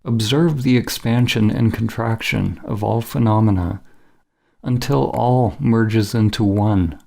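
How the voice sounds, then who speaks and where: A man narrating a scripted guided meditation in a measured, solemn, instructional manner as the guide. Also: lowish voice, gravelly, warm tone.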